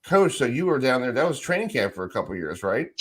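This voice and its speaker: A man's voice: medium pitch, sing-song, fast, breathy edge. A middle-aged man hosting a sports radio show, asking informal, rambling questions in a casual chat.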